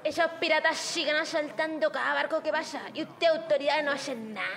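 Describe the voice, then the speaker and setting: A woman's voice: high-pitched, steady tone, creaky, fairly bright tone. A woman acting a gossipy, indignant Chilean village woman in a radio play, telling things in a colloquial, dramatic way. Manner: colloquial, dramatic, drawn-out storytelling. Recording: music behind the voice.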